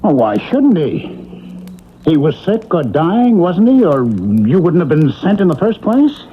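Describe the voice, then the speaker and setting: Brash, high-pitched wisecracking man. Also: sing-song, a little slow, slightly rough, phone-quality sound, full character voice. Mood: brash, determined. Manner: sarcastic, pushy, colloquial. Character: indignant, brash, wisecracking man wrongly taken by Heaven. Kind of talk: vintage radio comedy drama acting and narration. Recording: very noisy, phone-thin vintage radio broadcast.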